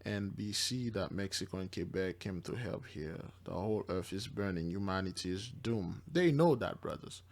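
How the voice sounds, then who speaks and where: A man's voice: low-pitched, lively intonation, moderate pace, hardly any pauses. A man commenting informally on news and viewer comments from a religious angle, speaking emphatically and repeating himself.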